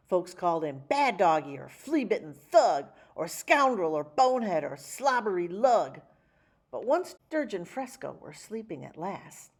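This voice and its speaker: A woman reading a rhyming children's picture book aloud, with theatrical, measured phrasing and dramatic emphasis. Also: on the low side, slightly rough. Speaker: children's story reader. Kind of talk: expressive picture-book read-aloud in rhyme.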